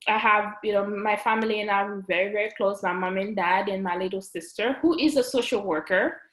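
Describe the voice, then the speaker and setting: A woman's voice: mid-range voice, fairly even intonation, brisk, a bit bright. Woman, 30s, Haitian accent, chatty podcast guest, echoey video-call sound. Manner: chatty, laughs often, many fillers and restarts. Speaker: guest, registered nurse and business owner. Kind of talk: casual interview chat. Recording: roomy echo.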